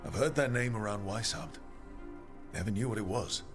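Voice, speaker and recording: A man acting a steady, supportive, dryly witty hero in a fantasy video game. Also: fairly low pitch, normal speed, soft background music. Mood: dry, teasing. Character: steady, supportive male hero with dry wit.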